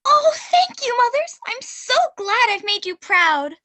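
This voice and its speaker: A young woman as a shrill, bratty, murderous little girl. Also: big pitch swings, measured pace, pushed and bright, heavily acted. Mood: earnest. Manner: theatrical, indignant. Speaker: student actor in radio play. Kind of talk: radio play acting.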